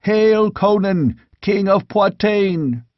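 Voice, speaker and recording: A man voicing an excited, fervent knight hailing his king in an exclamatory way, for a fantasy audiobook. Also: high-pitched, slow, dry recording, highs cut off, pitched above the performer's own voice. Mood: battle fervor.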